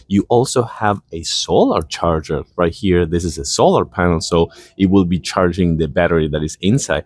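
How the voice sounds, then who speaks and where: A man with a low voice presents a product review in a casual, conversational way. He sounds enthusiastic and explains things as he demonstrates them. The sound is dry, with very little room in it. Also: no echo.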